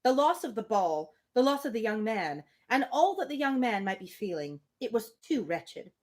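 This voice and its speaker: A woman's voice: a little high, very animated, normal speed. A woman reading a novel aloud on a livestream, breaking off for casual, opinionated asides. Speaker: reader on a livestream. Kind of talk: live book reading with casual commentary.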